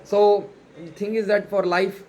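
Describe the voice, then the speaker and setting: A man with an Indian accent, a native Marathi speaker, gives an unscripted motivational monologue in halting, repetitive non-native English. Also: high-pitched, level tone, dark tone, slight background noise. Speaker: self-improvement challenge vlogger.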